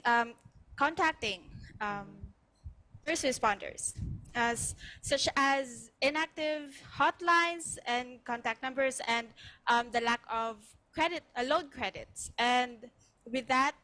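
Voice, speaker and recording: Woman, 20s, Cebuano accent, bright, halting, lots of ums. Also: high voice, poor audio. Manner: halting, many ums and self-corrections. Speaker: student guest. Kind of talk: live interview answers.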